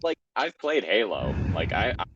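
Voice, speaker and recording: Deep-voiced man with very lively delivery. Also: normal speed, highs cut off, crowd noise. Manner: casual, sweary, self-deprecating. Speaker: co-host reading an online story aloud. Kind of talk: casual group chat and story reading.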